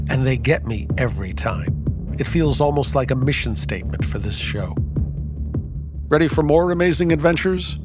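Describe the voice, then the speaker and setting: A man hosting a story podcast, reading a scripted outro and promo. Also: mid-range voice. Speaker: podcast host. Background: music under the voice.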